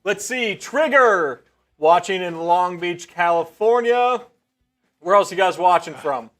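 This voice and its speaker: A man co-hosting a live sports stream, cheerfully reading out viewer comments and shout-outs. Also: sits high, animated, a little slow. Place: sports media studio.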